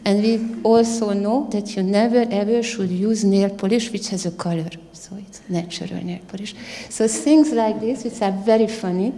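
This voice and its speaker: Woman, 50s, low voice, mellow, earnest panel talk, handheld mic, long hall reverb. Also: steady tone. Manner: earnest, proud, halting non-native phrasing. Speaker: scientist panelist. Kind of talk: panel discussion. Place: theater stage at a panel event.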